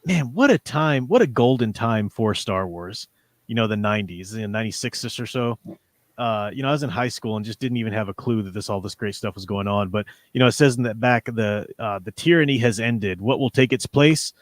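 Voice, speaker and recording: A man's voice: fairly low pitch, a little fast, no echo, clean studio sound. A man in his forties hosting a livestream, talking casually and enthusiastically as a fan, in a rambling way. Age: 40s. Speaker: livestream host. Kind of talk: casual fan discussion of comics and audio dramas.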